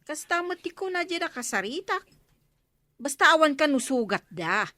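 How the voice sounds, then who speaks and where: A woman's voice: high voice, very animated, normal speed, sharp tone, no echo, full character voice. A woman playing a matter-of-fact village official with an Ilocano accent in a radio comedy drama. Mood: explaining.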